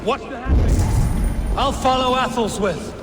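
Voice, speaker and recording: An actor playing a shrewd, bold Viking raider in a video game, with a terse, sardonic delivery. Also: male voice, very high-pitched, takes it slowly. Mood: alert. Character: shrewd, dry-witted male Viking raider, bold and unyielding. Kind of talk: dramatic video game cutscene acting. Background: music.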